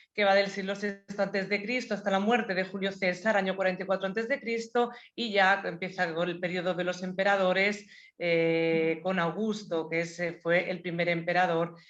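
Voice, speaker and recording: A woman's voice: mid-range voice, a bit bright, muffled recording. Spanish woman guide on a video call. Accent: Spanish (Spain). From Spain. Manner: hesitant, frequent fillers, explanatory. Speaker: tour guide. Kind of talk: webinar presentation.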